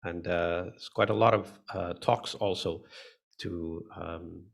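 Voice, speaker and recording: A man's voice: low voice, fairly even intonation, slow, creaky. A man hosting a live online session, speaking casually with many fillers and restarts over a video call mic. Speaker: host.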